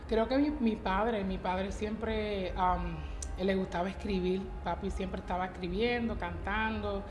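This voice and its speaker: In an echoey space with a little background noise, a woman talks as an interview guest. Her pitch is average and her style is casual and testimonial. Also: steady tone, measured pace. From Connecticut, United States. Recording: echoey live venue, light background noise.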